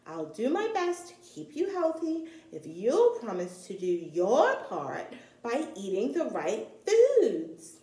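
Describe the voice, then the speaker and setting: A woman's voice: fairly high pitch, huge pitch swings, slow pace, dark tone, reverberant, full character voice. A woman voicing a kindly but firm female bear doctor in a storybook, instructive and reassuring. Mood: earnest, instructive.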